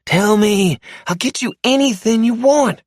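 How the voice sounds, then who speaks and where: A man voicing a panicked, sleazy lowlife man who frantically begs for his life in a strained, pitched-up voice. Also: measured pace, no room sound, studio quality. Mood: panicked pleading. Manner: frantic, pleading, later sneering. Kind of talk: audiobook character voice.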